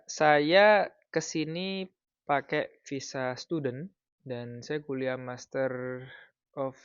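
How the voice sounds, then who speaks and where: A man in his thirties from Indonesia, giving casual advice in a vlog in a relaxed, explanatory way with frequent fillers. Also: mid-range voice, dry recording, dull audio. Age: 30s. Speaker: vlogger.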